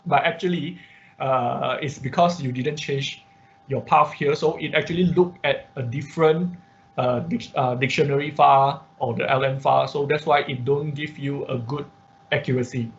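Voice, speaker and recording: A man's voice: mid-range voice, steady tone, gravelly. Man teaching online, dull muffled audio. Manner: halting, repetitive explaining with frequent tag questions. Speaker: instructor of an online robotics class. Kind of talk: informal live tutorial with screen demonstration. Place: online class call.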